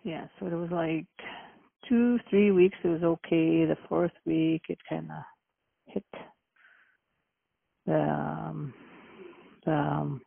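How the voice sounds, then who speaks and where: A middle-aged woman from Manitoba, Canada, answering interview questions by phone in an earnest, halting way. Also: low voice, fairly even intonation, gravelly, slightly rough, no echo. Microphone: telephone. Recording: tinny phone line.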